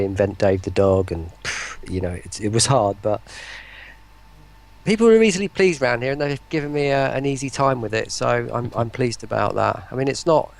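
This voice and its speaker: A man chatting casually as an interview guest, speaking in a hesitant, halting, self-deprecating way. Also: lowish voice, gravelly. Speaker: interview guest, voice pack creator and writer.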